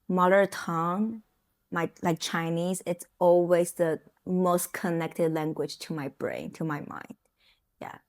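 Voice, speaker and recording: A woman's voice: lowish voice, no room sound, clean studio sound. A young woman from Taiwan with a Taiwanese accent, whose first language is Mandarin, talking casually to camera in a chatty way with many fillers and small grammar slips. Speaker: software engineer and vlogger.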